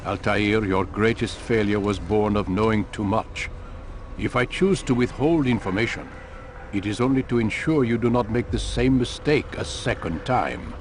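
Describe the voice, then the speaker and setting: Casting: male actor as commanding older mentor for a game, low register, measured, formal, slight background noise. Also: natural voice. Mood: stern. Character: stern, commanding older male master of assassins. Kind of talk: video game voice acting.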